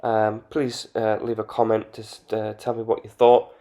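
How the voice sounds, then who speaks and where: A man in casual voice-over commentary for a game channel. His voice sits low and barely moves in pitch. He hesitates often, filling pauses with ums and uhs. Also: monotone, dark tone. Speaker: video game channel host.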